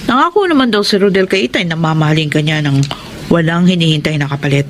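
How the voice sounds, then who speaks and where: A woman's voice: low voice, animated, quick pace, noisy background. A woman playing a frank, protective older sister in a Filipino radio melodrama, gossipy and coaxing, with a Filipino accent. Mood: earnest.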